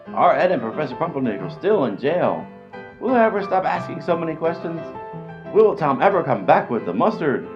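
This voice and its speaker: A man's voice: a little high, lo-fi sound. A man announcing a comedy radio serial in a mock-dramatic narrating style. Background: music.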